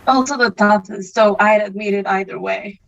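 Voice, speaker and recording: A woman's voice: mid-range voice, normal speed, gravelly, highs cut off, slight background noise, natural voice. A woman playing a cool, wry teenage bad-boy camp counselor in an online table read of a stage musical. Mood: casual, teasing.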